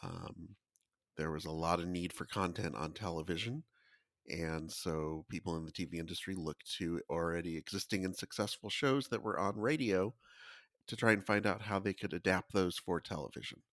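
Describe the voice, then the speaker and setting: A man's voice: low-pitched, moderate pace, fairly bright tone, dry recording, clean studio sound. A man giving explanatory podcast commentary in a conversational style, as a panelist.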